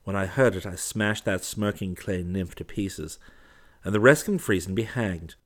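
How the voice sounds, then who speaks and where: A man voicing an earnest, timid young artist in love in an audiobook, speaking emotionally and haltingly. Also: low-pitched. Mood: tender, earnest.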